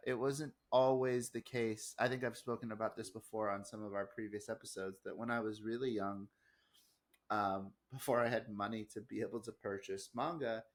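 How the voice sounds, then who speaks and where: An American man co-hosting a casual podcast discussion, speaking in a thoughtful, measured and earnest way. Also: fairly low pitch. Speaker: podcast co-host.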